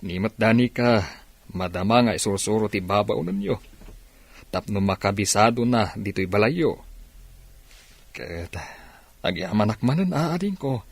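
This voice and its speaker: An actor playing a caring middle-aged father with a husky voice in a radio drama. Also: on the low side, animated, measured pace, long pauses, dry recording, full character voice. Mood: gentle, fatherly.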